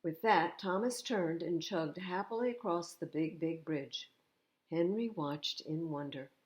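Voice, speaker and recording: A woman's voice: fairly low pitch, unhurried. A woman reading a picture book aloud to young children, expressively, with explanatory asides about the pictures. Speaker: children's storytime reader.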